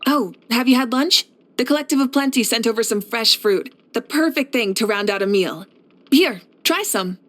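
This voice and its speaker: A woman playing a confident, kindly fire archon and war leader in a video game, speaking in an assured, measured, encouraging way. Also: on the high side, lively intonation, a bit bright. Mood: warm, easygoing. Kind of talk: scripted video game character voice lines.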